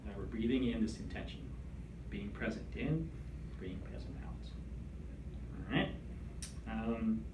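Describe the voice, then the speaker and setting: A man leading a meditation class, speaking in a gentle, soothing, conversational way. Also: lowish voice, measured pace, pauses often. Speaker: meditation instructor. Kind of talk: guided meditation instruction. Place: room with attendees seated in chairs. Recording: echoey room, distant mic, background noise.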